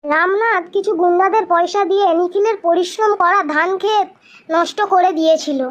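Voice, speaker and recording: A woman's voice: very high-pitched, fairly even intonation, fairly quick, dull audio. A woman reading scripted narration for a children's cartoon story.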